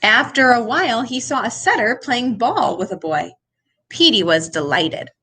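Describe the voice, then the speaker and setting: Animated, high-voiced woman reading a storybook. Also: moderate pace, a little hiss or hum. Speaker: storybook reader. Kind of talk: animated children's read-aloud.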